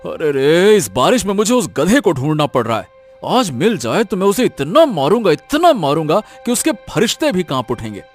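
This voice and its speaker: A man's voice: a little high, very animated, moderate pace, faint music behind. A man playing a hot-tempered, blustering village donkey owner who turns cowardly when scared, in a moral-story cartoon. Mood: angry, threatening. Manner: blustering, scolding. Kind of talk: animated Hindi moral-story dramatization.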